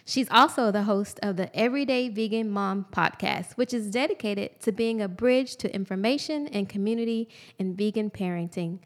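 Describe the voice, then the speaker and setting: A woman's voice: mid-range voice, normal speed, hardly any pauses. A young adult woman hosting an interview, enthusiastic, often saying "like" and "mm-hmm". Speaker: interview host. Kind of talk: casual interview chat.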